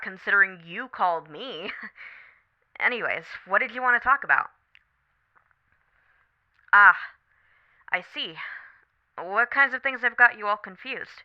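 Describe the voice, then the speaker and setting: A woman playing a supportive, candid best friend on a late-night call in an ASMR roleplay, talking casually, gently and thoughtfully. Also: mid-range voice, highs cut off, close to an everyday voice. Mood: playful, teasing, then caring, thoughtful. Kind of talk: scripted ASMR roleplay, one side of a phone conversation.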